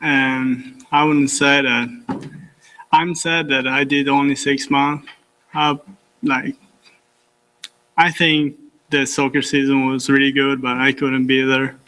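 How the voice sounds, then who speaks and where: A teenage Italian exchange student with an Italian accent, giving a halting thank-you speech in simple English at a public meeting. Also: male voice, mid-range voice, level tone, bright, forceful tone, low-quality recording. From Italy. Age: teens. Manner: halting, simple non-native phrasing.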